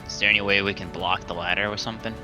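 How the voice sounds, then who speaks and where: A man's voice: on the low side, fairly even intonation, racing, over music. A man chatting casually as a player in a tabletop roleplaying game.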